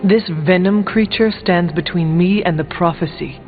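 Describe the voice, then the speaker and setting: A man voicing a solemn, resolute warrior hero in a video game, speaking in an earnest, formal, deadpan way. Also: high voice, normal speed, narrow, tinny audio. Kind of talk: video game dialogue acting. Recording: thin band-limited game audio, long reverb, music.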